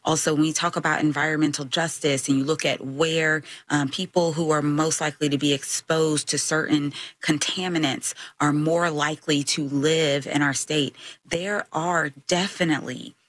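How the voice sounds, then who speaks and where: A woman with a low voice speaks as a state lawmaker in a panel discussion. She lays out her points deliberately and unscripted, with a dry sound. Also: steady tone, no room sound. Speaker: state legislator, panel guest.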